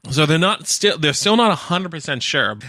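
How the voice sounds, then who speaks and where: A man's voice: fairly high pitch, lively intonation, moderate pace, sharp tone, no echo, clean studio sound. A man co-hosting a local radio show, talking casually as he goes through local news. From Canada.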